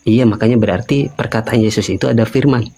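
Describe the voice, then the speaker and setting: A man of middle pitch speaks over a voice call with a faint hiss of noise behind him. He talks haltingly, restarting phrases and tagging questions to check for agreement. His tone is patient and probing. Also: creaky, mellow. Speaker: caller questioning a guest. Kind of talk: live call-in debate.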